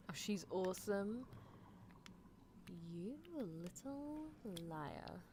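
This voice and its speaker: A woman's voice: on the high side, mellow, slight background noise. A woman voicing an obsessive mad scientist who coaxes and condescends to a captive love interest in an ASMR roleplay, with menacing affection. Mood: coolly displeased.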